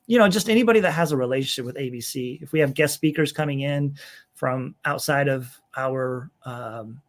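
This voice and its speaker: Casual, self-deprecating man in conversation. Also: moderate pace. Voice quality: slightly high voice for a man. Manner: self-deprecating, rambling, informal. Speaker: podcast guest, church executive minister and author.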